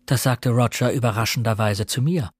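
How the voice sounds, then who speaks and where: An actor playing an observant young gentleman who narrates a murder mystery in a measured, literary radio drama style. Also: medium pitch, lightly acted.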